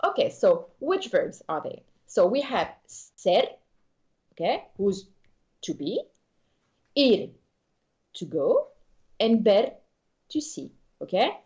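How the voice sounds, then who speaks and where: A woman's voice: mid-range voice, wildly swooping pitch, takes it slowly, pauses often, darkish tone, muffled recording. A woman born in Belgium, bilingual in French and Spanish, teaching an online Spanish lesson in a patient, explanatory way and often checking in with "okay?". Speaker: Spanish tutor.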